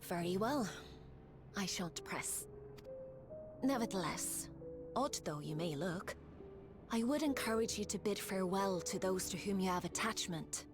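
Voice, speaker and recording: Game role: actress as an elegant, ominous female traveler between worlds, formal and teasing, taking her time over each phrase, over a clear music bed. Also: mid-range voice, animated, close to an everyday voice. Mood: composed, formal. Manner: formal, archaic, measured theatrical phrasing. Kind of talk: video game cutscene acting. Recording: orchestral game music behind voice.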